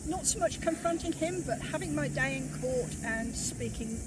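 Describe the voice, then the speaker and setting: Blunt older woman over loud traffic. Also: high-pitched, expressive, normal speed. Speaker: interviewee. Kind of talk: street interview. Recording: loud outdoor traffic noise.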